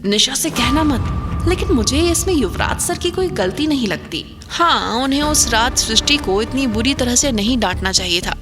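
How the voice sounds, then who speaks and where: Woman playing a teasing young college guy, chatty, high voice, music bed behind. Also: lively intonation, normal speed, natural voice. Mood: earnest. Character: playful young male college student, teasing. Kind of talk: Hindi audio drama acting.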